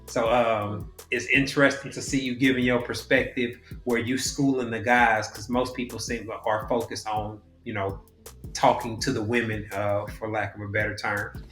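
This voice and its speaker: A man's voice: on the low side, normal speed. A man hosting a casual podcast, talking loosely with plenty of fillers and restarts. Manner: loose, relaxed talk with many fillers and restarts. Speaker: podcast host. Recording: roomy echo with faint music.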